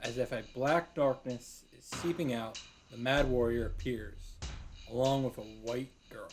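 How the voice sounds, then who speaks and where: A man's voice: mid-range voice, fairly even intonation, measured pace, a little hiss or hum. A man reading visual novel narration aloud as the host of a read-through, with casual reactions. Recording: music behind the voice. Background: game music.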